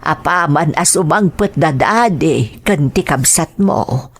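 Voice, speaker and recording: A worried grandmother , acted by a woman counsels gently in an audio drama. She speaks slowly and soothingly in a husky voice. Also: deep voice, lively intonation, heavily acted. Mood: gentle, advising.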